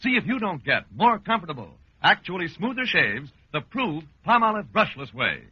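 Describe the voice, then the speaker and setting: American male pitchman, higher husky voice, confident persuasion, muffled old radio recording. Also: very animated, normal speed, dry recording. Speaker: commercial announcer. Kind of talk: 1940s radio advertising pitch. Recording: dull vintage radio recording.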